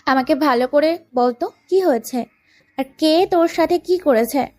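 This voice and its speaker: A woman plays a young female best friend in a romantic audio story. She has a high voice and talks directly, bossy yet caring. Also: moderate pace, darkish tone, close to an everyday voice. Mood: caring, insistent.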